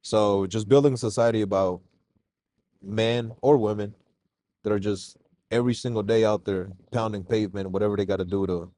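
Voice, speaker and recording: A man from Mexico talks loosely and casually, dropping slang like he's chatting with a friend. His voice sits fairly low. The sound is dry and close, with no room echo. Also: normal speed. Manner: relaxed, slangy, calls host "bro". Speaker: podcast guest. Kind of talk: casual podcast interview.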